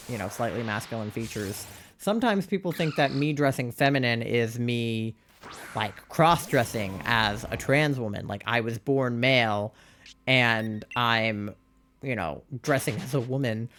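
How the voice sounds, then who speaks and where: A man's voice: mid-range voice, fairly bright tone, a little hiss or hum. A young adult man streaming live, chatting candidly in a rambling way, full of "like" fillers and exasperated rhetorical questions. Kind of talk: casual chat while live-streaming a game.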